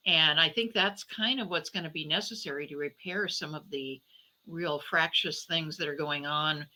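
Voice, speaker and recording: A middle-aged woman speaks as a guest in a conversational interview. Her voice is fairly low but strikingly bright and forceful. She answers reflectively, picking her way through each thought. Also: poor audio. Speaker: guest author, debut novelist.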